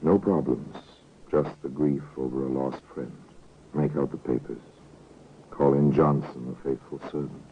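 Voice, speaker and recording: A man's voice: rumbling low, fairly even intonation, measured pace, a little hiss or hum. An actor as a grave, solemn male narrator of crime stories, in a portentous old-time radio style on a tinny vintage recording. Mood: grave, ominous.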